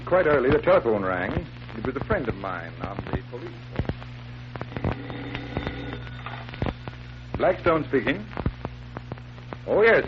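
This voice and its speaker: Genial, authoritative magician sleuth; polished radio-play delivery, swooping intonation, dull vintage recording. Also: male voice, mid-range voice. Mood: matter-of-fact. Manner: polished, emphatic, explanatory. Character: confident stage magician detective, genial and authoritative showman. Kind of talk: 1940s radio drama acting. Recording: noisy, muffled vintage 1940s radio recording.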